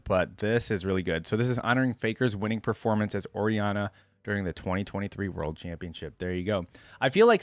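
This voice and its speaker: Low-voiced man giving casual reactions. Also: dry recording. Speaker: reaction video host. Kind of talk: casual reaction commentary on game skins.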